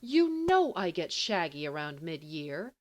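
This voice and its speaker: A woman's voice: low-pitched, very animated, measured pace, darkish tone. A woman playing a brash, teasing teenage schoolboy in an audiobook, indignant and flippant with a mock-grand manner. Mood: playful, injured.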